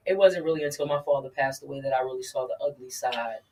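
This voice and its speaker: A woman guest telling a personal story in an interview, earnest and halting with frequent restarts. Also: very deep voice, a little mellow, far from the mic.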